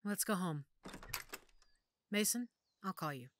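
A woman playing a motherly, firm female werewolf pack leader in an audio drama, measured and authoritative. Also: mid-range voice, expressive, slight background noise. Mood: weary.

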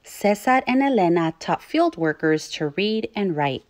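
Woman, teacher, children's read-aloud narration, animated pitch swings, dry close sound, clear instructive phrasing. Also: a little slow, no room sound. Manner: clear teacherly phrasing with questions to young listeners.

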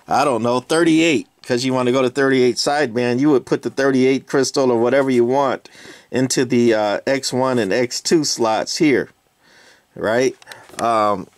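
A man talks through old radio hardware in a cluttered workshop, casual and rambling, full of fillers. His voice sits at an ordinary middle pitch. The sound is dry, with no room echo. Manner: rambling, many fillers and hedges. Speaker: radio collector. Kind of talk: casual hands-on walkthrough.

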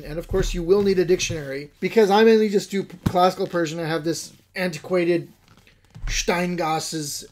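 A middle-aged man with Urdu as his first language talks a bit high for a man. He speaks informally to camera from a book-lined study at home, wandering through thoughts with lots of fillers and restarts. A desk mic sits in front of him. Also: a little mellow. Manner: rambling, with many fillers and restarts. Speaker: Islamic studies scholar giving a reading list. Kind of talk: casual recorded lesson to camera.

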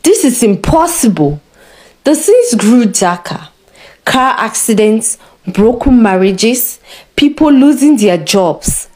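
A woman narrating a scripted story in a measured, dramatic way. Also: fairly high pitch, expressive, a little mellow.

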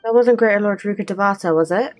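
A woman's voice: medium pitch, fast talker. A young woman giving casual, cheeky commentary on a game from a close mic in a room at home. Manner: cheeky, informal. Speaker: let's play streamer. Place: small room indoors at home. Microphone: close desk microphone, headset on. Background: game music.

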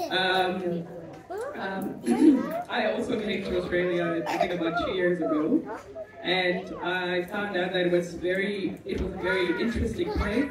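A woman's voice: on the low side, recorded from a distance. Earnest woman in an echoey crowded hall. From Zimbabwe. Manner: earnest, reflective, with frequent ums. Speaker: pharmacist speaking at a community event. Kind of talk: personal testimony to an audience. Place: large hall at a multicultural event.

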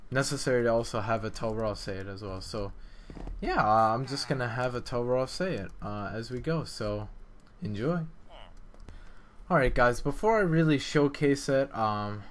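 A man hosting a game development video, talking in a casual, informal and halting way. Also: medium pitch. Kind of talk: casual gameplay commentary, development vlog.

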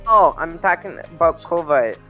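A man's voice: fairly high pitch, animated, moderate pace, sharp tone, muffled recording, soft background music. A young man from Minnesota with a Minnesota accent, chatting casually over voice chat while gaming and often stumbling over his words. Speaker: gamer on voice chat.

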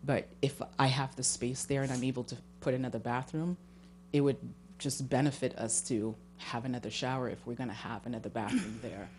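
A woman's voice: a bass voice, steady tone, brisk, darkish tone. A woman speaking as a homeowner applicant, explaining informally into a handheld microphone at a public meeting. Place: municipal meeting room. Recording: faint background hum.

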